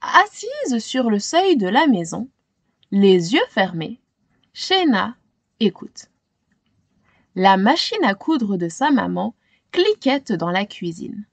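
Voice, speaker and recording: A woman's voice: medium pitch, no echo. A woman hosting storytime, reading a children's story carefully and with dramatic expression. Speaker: storytime reader and host. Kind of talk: scripted children's storybook narration.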